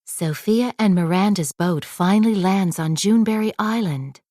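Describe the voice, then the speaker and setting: A woman reading a children's story aloud as a scripted, expressive narration. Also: mid-range voice, moderate pace, no room sound, studio quality. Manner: expressive read-aloud delivery.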